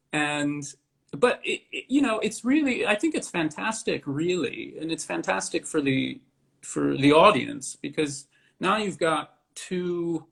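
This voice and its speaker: A man giving casual interview answers as a theatre director, halting and deliberate in short phrases. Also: on the high side, vocal fry, fairly bright tone.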